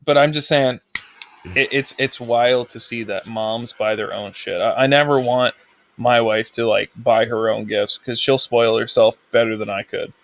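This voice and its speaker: A man's voice: mid-range voice, steady tone, normal speed, no room sound. A married man co-hosting a casual talk show, making his point in an earnest way and steering the talk back to it. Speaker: podcast co-host. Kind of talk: casual chat.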